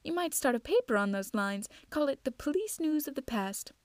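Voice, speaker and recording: A woman's voice: high-pitched, expressive, moderate pace, dry recording, pitched above the performer's own voice. A woman voicing a wry, teasing male go-between in a storybook reading, with a light, lilting manner.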